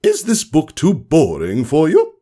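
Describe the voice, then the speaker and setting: Storybook role: strict female pony teacher, acted by a man, raised pitch, sarcastic, authoritative, no-nonsense. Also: wildly swooping pitch, unhurried, mellow, heavily acted. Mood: sarcastic, prim. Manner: matter-of-fact, commanding. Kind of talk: storybook character voice.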